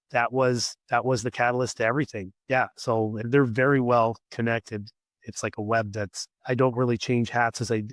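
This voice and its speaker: A Canadian man being interviewed on a podcast, raspy as he loses his voice, thinking aloud with repeated words. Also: medium pitch, a bit bright, no room sound. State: losing his voice. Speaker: podcast guest, scaffolding company executive. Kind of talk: conversational interview.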